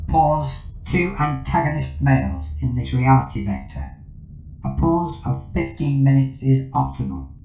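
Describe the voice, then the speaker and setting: A man's voice: mid-range voice, big pitch swings, a little slow, creaky. A man playing a formal, procedural artificial intelligence in a sci-fi audio drama, speaking in clipped statements. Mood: formal, warning. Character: coolly formal artificial intelligence advisor named Cognos. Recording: roomy echo, loud music behind.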